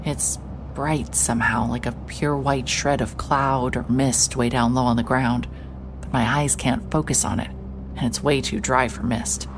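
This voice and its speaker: Very low-voiced, hard-hearted female private eye. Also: fairly even intonation, a little slow, noisy background. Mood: brooding. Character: hard-hearted female private investigator, brooding noir narrator. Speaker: narrator and lead character. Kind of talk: first-person noir scripted narration.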